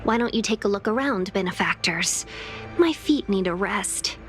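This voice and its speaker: An actress playing an easygoing young woman, a travelling companion in a video game. Her voice is medium in pitch with a light, casual delivery. Music plays clearly behind it. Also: lively intonation, normal speed, lightly acted. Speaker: game character voice actor. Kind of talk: scripted game dialogue.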